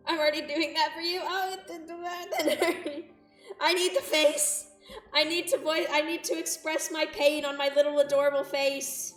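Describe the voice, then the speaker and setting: High-pitched woman streamer, dramatic and roomy. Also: level tone, normal speed, a bit bright. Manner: dramatic, playfully exaggerated distress. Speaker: game streamer. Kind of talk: livestream chat and read-aloud of a visual novel. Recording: roomy echo, distant mic, music behind.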